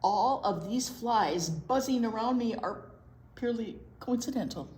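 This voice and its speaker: A woman's voice: a little high, expressive, unhurried, darkish tone. A woman voicing a stubborn, grubby pigeon who protests defensively against everything, in a children's picture book. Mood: indignant protesting. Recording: roomy echo, noticeable room noise.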